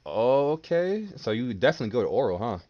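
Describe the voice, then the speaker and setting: A man's voice: mid-range voice, very animated, brisk, warm tone, muffled recording, a little hiss or hum. A man in his twenties from New Jersey, a streamer flirting playfully with strangers on video chat through a headset. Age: 20s. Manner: smooth-talking, playful, slangy. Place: small room, indoors.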